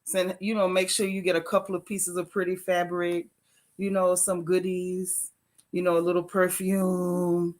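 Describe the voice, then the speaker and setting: A woman's voice: lowish voice, completely flat delivery, a little mellow. Woman host, chatty and motherly, leans on words to make her point. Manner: motherly, giving advice with slow emphasis. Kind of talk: casual live-stream chat.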